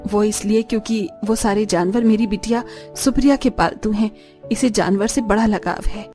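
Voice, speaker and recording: A woman's voice: mid-range voice, normal speed, dark tone, faint music behind, lightly acted. A woman voicing a kindly, hospitable poor village mother in a cartoon story, caring and polite. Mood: proud. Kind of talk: Hindi cartoon story dialogue.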